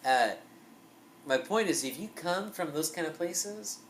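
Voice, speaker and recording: A young man hosting a podcast in a small basement room, talking in an animated, rambling way with lots of fillers and swearing, picked up by a camera mic across a table. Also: fairly high pitch, a little slow, slight background noise. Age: young adult. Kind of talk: casual chat.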